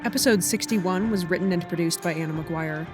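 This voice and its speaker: A woman's voice: lowish voice, a little fast, loud music behind the voice. A woman reading scripted podcast credits as the announcer.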